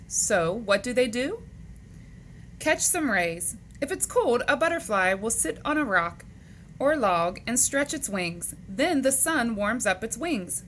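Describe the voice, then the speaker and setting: Slow, animated woman reading to children. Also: a little high, slight background noise. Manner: question-and-answer delivery addressed to young children. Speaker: storyteller reading a children's picture book aloud. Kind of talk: children's read-aloud with asides to listeners.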